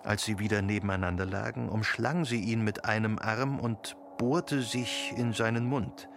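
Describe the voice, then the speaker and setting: A man narrating a literary radio play, reading in a measured, articulate way. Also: lowish voice, fairly even intonation, creaky, noisy background.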